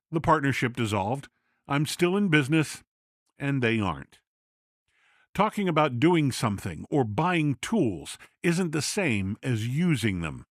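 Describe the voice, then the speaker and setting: Male podcast presenter, scripted read, mid-pitched with animated delivery and dry, close sound. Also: dry recording.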